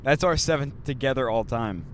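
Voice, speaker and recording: A man's voice: mid-range voice, normal speed. A man hosting a casual podcast, rambling and joking, recorded on a handheld mic in a moving car. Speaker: podcast host. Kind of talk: casual chat. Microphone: handheld mic passed around. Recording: road noise from a moving car.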